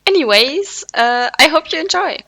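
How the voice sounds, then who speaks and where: Gravelly, bright-voiced fanfic reader. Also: female voice, high-pitched, expressive, moderate pace, no room sound. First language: not English. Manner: casual, self-deprecating asides. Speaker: podfic reader. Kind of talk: reading fanfiction aloud.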